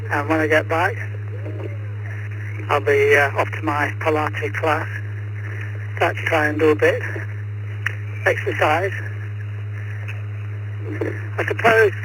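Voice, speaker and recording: A man's voice: a little high, a bit bright. A man chatting casually as an amateur radio operator, speaking outdoors while out walking. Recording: noisy radio link, hum, street sounds.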